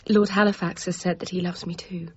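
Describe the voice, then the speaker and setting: An actress playing a lovestruck but determined young gentlewoman in a period radio drama, composed and candid. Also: fairly low pitch, flat delivery, fairly quick, husky, muffled recording. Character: lovestruck, resolute young gentlewoman, Newton's niece.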